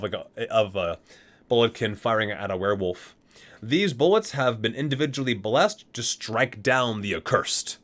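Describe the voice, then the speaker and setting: Male ASMR reader, medium pitch, lively intonation, expressive recitation with offhand asides. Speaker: video creator reading aloud from a game guide book. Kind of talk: ASMR reading with casual asides.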